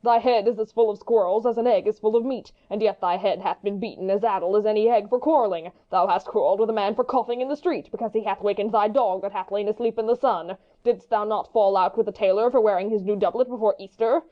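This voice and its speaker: Hot-headed young wit played by a woman, mid pitch, theatrical mockery, classical stage verse, slightly dull recording. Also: fast talker, hardly any pauses, natural voice. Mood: teasing. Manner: taunting, theatrical, verbose. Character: hot-headed, mocking, witty young male swordsman. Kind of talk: Shakespeare audiobook dramatic reading.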